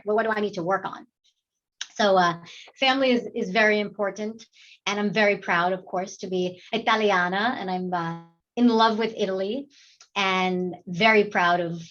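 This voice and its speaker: Chatty woman with slightly muffled audio. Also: mid-range voice. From New York. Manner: animated, talkative, laughs often, many fillers. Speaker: actress, interview guest. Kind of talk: casual interview chat.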